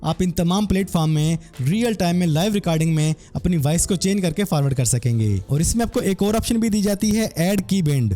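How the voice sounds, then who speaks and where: Man, high-pitched mellow voice, software tutorial narration, faint music behind. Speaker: tech tutorial presenter.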